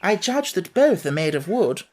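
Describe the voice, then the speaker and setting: A woman's voice: fairly low pitch, very animated, moderate pace, darkish tone, voice pushed up. A woman voicing a pompous, overly polite male insect who fancies himself a scholar, speaking in elaborate phrases.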